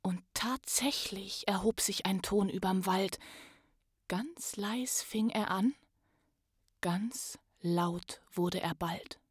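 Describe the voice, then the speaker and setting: A woman performing as a suspenseful storybook narrator, reading rhymed verse theatrically, moving between hushed and loud delivery. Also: mid-range voice, husky, dry recording, studio quality. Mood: suspenseful, wondering. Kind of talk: dramatic read-aloud of a rhymed children's story.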